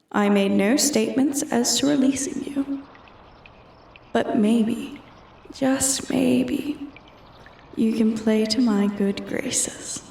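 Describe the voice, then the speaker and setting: A woman voicing a bored, menacing female dragon who taunts a human thief in a slow, imperious, deliberate manner for a roleplay ASMR. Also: a little high, gravelly, a little mellow, roomy sound, far from the mic. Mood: mocking amusement. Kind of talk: roleplay ASMR, scripted character acting.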